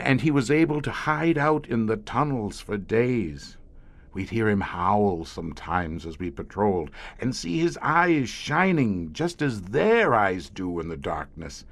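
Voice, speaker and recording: An actor playing a weary, grim professor who commands a secret police squad, speaking formally and hesitantly in an audio horror drama. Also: male voice, on the low side, very animated, full character voice. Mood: grimly matter-of-fact. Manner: measured, hesitant, formal, darkly matter-of-fact.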